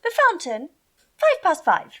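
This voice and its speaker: Slow, intense romantic young lover. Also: female voice, sits high, wildly swooping pitch, full character voice. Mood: tender, hurried. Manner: declarative, romantic, theatrical. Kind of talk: poetic audio drama acting.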